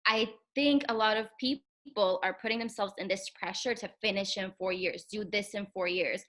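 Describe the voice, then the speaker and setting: A woman's voice: mid-range voice, moderate pace, a bit bright. A young woman from Egypt, a chiropractor guest, talking candidly about her studies and laughing often. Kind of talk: casual interview conversation.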